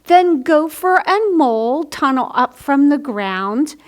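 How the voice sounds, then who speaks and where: Woman, high-pitched, unhurried, children's library storytime host, simple careful delivery. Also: lively intonation. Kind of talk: children's picture-book reading and craft instruction.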